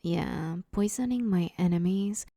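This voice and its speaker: A woman's voice: fairly low pitch, unhurried, gravelly, dark tone, dry recording, studio quality. A woman playing an awkward, broke but friendly supervillain neighbor in a roleplay audio drama, rambling casually and hesitantly as she angles for favors. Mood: sheepish, casual.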